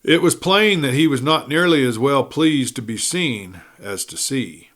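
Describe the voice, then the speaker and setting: A man's voice: medium pitch, animated, a little slow, a bit bright. A man reading a western story aloud in a folksy storytelling manner, with rustic wording. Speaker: audiobook reader.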